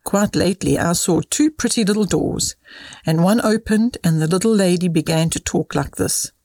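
A woman's voice: fairly low pitch, moderate pace, warm tone, dry recording. Storybook older cousin played by a woman: kindly male moraliser, patient teaching manner, dry recording. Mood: earnest.

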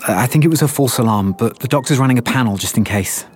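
An actor as an anxious husband, lively-pitched. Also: male voice, quick pace, faint music behind, close to an everyday voice. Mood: worried, reassuring. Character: anxious, devoted young husband comforting his wife. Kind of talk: immersive audio drama acting.

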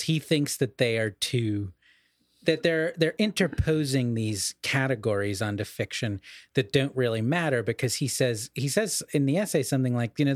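A man with a mid-pitched voice talks through literary ideas in a conversational podcast style. He is erudite and explanatory, often halting and rephrasing mid-thought. The sound is close and dry, with no room around it. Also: dry recording.

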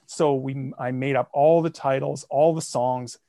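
A man guesting on a podcast, telling the story of his projects in a lively, self-mocking way. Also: mid-range voice, a little slow, vocal fry, no room sound, clean studio sound. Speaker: guest, comedy podcaster and songwriter. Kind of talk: casual interview.

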